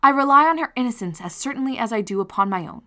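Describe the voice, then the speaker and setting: A woman reading the lines of a gentle young woman who pleads with grief and hope. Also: on the high side, animated, fast, pitched above the performer's own voice. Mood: pleading hope. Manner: earnest, heightened reading.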